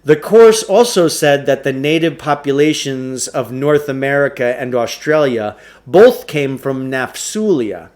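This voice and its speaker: A man's voice: mid-range voice, expressive, measured pace, few pauses, darkish tone. A man reading a children's novel aloud as a storybook narrator.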